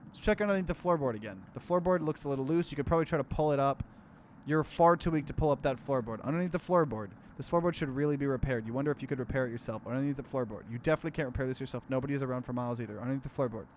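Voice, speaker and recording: A man's voice: medium pitch, rapid-fire, slight background noise. A man hosting a let's play, casually commenting and reading game text aloud with expression.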